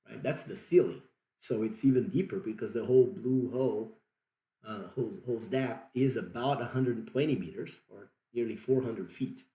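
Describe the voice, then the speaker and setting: A man's voice: mid-range voice, vocal fry, warm tone, reverberant. A Brazilian man in his forties explaining at length with many fillers and restarts, in a Brazilian accent. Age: 40s. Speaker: diving vlogger. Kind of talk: unscripted explanation.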